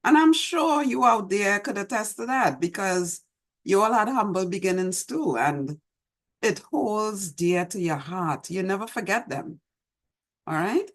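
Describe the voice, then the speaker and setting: A middle-aged woman with a Trinidadian accent, hosting an online show and gently reminiscing as she reads her poem, recorded over a video call from a small room at home. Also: mid-range voice, expressive, measured pace. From Trinidad and Tobago. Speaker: host. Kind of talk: reflective talk and poetry reading. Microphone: video call webcam.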